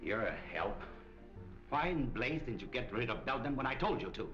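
An actor playing a slick middle-aged crook with a smooth, controlling manner, in 1940s crime film style. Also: male voice, mid-range voice, animated, moderate pace, natural voice. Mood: menacing. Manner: smooth, controlling, veiled threats. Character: slick, menacing male cafe owner and smuggling crook. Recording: dull old film soundtrack, music behind.

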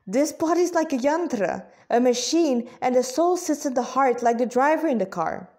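A woman reads as a young warrior son in a story. He is eager and questioning, with a high voice and a curious, hesitant way of putting things. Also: expressive, moderate pace, darkish tone.

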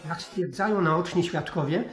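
A matter-of-fact officer played by a male actor in a vintage radio play. His voice sits a little high, reporting to superiors in an echoing studio. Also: normal speed, lightly acted. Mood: matter-of-fact, explaining. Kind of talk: 1960s Polish radio drama acting.

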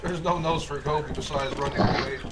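A man chatting excitedly with friends while playing a game. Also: a little high, a little fast, echoey room, heavy background noise. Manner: excitable, shouting calls. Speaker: gamer.

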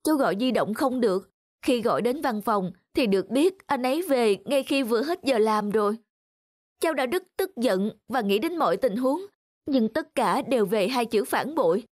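An actress playing a troubled, polite young wife, confiding earnestly and sorrowfully in an animated drama. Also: female voice, on the high side, normal speed, no room sound, studio quality.

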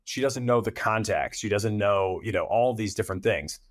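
A man in his thirties hosts a conversational interview. His voice sits fairly low, and the sound is dry with little room on it. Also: moderate pace, dry recording. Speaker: podcast host and interviewer. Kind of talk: conversational long-form interview questioning. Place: indoors on a video call.

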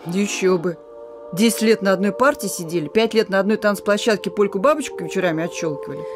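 A woman's voice: mid-range voice, fast, natural voice. An actress playing a weary but defiant young woman messenger in a radio play, talking in a familiar, needling way. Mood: teasing. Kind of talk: Russian radio play acting. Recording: radio drama with music.

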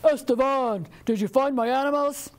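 A commanding forest spirit booms out orders from deep in the trees, put on by a woman storyteller. The voice is firm and a little distant. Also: moderate pace, lightly acted. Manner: commanding, booming. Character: booming male forest spirit.

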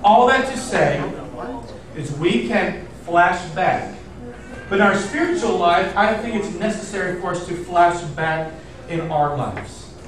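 Male pastor, 30s, high-pitched, informal and chatty sermon delivery, recorded from afar in a very echoey hall. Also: expressive, measured pace, far from the mic. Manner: informal, chatty, engaging listeners with questions. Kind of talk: casual sermon to a congregation. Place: indoor church stage in front of seated audience. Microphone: far camera in the audience.

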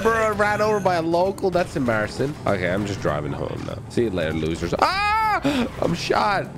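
Animated man doing excited gameplay commentary. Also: a little high, noisy background. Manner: playful taunting, exclaiming, swearing. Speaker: gaming YouTuber playing online roleplay. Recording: music behind the voice. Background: music and game vehicle sounds.

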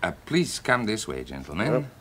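Deep, husky, deferential waiter. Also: male voice, sing-song, normal speed, a bit bright, full character voice. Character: deferential, discreet male waiter. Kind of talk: 1970s radio drama acting.

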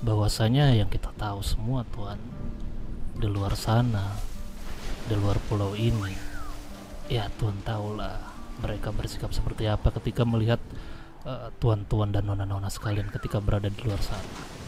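A man's voice: lowish voice, level tone, moderate pace. A man roleplaying in an online game, speaking formally and deferentially, with some hesitation as he negotiates. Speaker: roleplay gamer playing a gang leader. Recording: music behind the voice. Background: music.

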